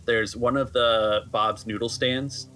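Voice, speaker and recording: A man's voice: medium pitch, steady tone, slow pace, pushed and bright, faint music behind. A man acting as game master, casually narrating scenes and explaining rules for a tabletop roleplaying game.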